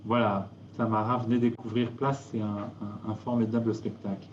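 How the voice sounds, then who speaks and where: Halting man on a roomy video call. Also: fairly low pitch, level tone, highs cut off, noisy background. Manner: halting, many fillers and restarts. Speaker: theatre director presenting the season. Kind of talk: hesitant live presentation.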